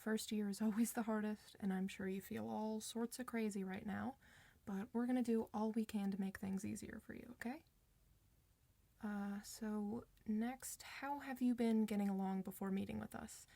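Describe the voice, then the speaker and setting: A woman's voice: medium pitch, steady tone, vocal fry, dark tone, no room sound. A woman playing a friendly, reassuring doctor for werewolves in an informal, one-sided ASMR roleplay. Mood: calm, reassuring. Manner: reassuring, informal, hesitant pauses.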